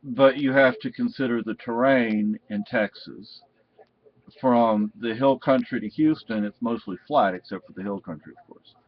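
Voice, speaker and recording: A man giving a casual, unscripted product review in a halting, rambling way. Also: mid-range voice. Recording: dull, muffled lo-fi sound.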